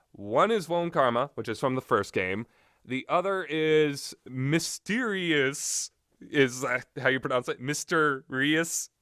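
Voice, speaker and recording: A man's voice: on the high side, a bit bright, no echo, clean studio sound. A man giving casual, rambling opinions as a video game commentator, blunt and casually profane.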